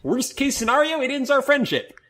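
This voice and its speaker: A man co-hosting a podcast in casual chat and skit reading, joking around and quick to laugh. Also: very high voice, very animated, pushed and bright. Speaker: podcast co-host. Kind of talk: casual chat and scripted skit read live.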